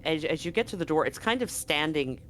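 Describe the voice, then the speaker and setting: A man's voice: on the high side, lively intonation, fairly quick, fairly bright tone, a little hiss or hum. Casual game master man narrating. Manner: loose, conversational, self-correcting.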